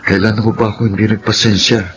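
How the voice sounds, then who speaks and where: A man's voice: lowish voice, little pitch movement, fast talker, muffled recording, noisy background, natural voice. An actor playing a hardworking, frustrated young engineer who lectures his family, in an earnest radio drama style. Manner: earnest, preachy. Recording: music under the voice.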